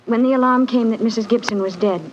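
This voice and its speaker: A woman's voice: on the high side, fast, close to an everyday voice. Woman as anxious young governess, muffled old radio. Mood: anxious, earnest. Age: young adult. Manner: refined, earnest, anxious. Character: distressed, earnest young governess protesting her innocence. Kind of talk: 1940s radio acting. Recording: muffled, noisy 1940s radio recording.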